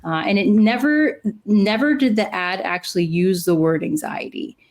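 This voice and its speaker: A woman's voice: mid-range voice, animated, normal speed. A woman in her forties co-hosting a chat show, explaining with lots of "uh" fillers. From Cuban and Dominican background. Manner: many "uh" fillers, earnest explaining. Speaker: podcast co-host, clinical psychologist. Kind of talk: casual chat.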